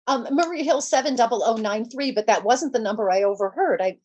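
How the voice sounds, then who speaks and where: An actress playing a nervous, demanding invalid wife in 1940s radio suspense style, with a fretful, insistent, stammering delivery. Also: female voice, fairly high pitch, brisk, a little mellow, dull audio, lightly acted. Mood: anxious, flustered.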